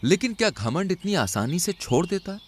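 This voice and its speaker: A man's voice: sits high, very animated, normal speed, breathy edge. A man narrating an animal fable in a dramatic storytelling style.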